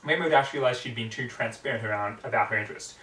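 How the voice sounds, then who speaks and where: A man reading a story aloud with hammy, expressive narration, recorded in a roomy space away from the microphone. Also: medium pitch, fast talker. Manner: hammy, theatrical. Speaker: amateur story reader. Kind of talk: expressive fan fiction narration. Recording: roomy echo, distant microphone.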